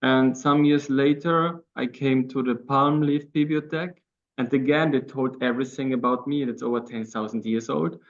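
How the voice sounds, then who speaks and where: A man's voice: mid-range voice, fairly even intonation, normal speed. Guy talking english as a second language, chatty and laughing, dull muffled sound. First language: not English. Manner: chatty, laughs often, searches for words. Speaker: guest co-host. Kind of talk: conversational interview.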